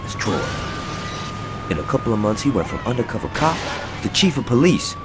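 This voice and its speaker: A man's voice: medium pitch, big pitch swings, fairly quick, over loud music, natural voice. A man playing a brash, cocky, foul-mouthed gang enforcer with defiant street-slang swagger in a video game cutscene. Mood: casual, animated.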